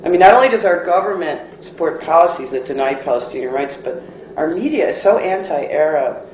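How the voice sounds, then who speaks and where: A man's voice: fairly high pitch, animated, brisk. A man giving earnest spoken commentary. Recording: roomy echo with some background noise.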